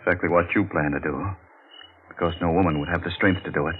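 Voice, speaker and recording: An actor playing a bitter young lover turned guilty accomplice, terse and grave, in 1940s radio style. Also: male voice, sits low, steady tone, fast talker, close to an everyday voice. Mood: grave, resigned. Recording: tinny band-limited 1940s radio, faint noise.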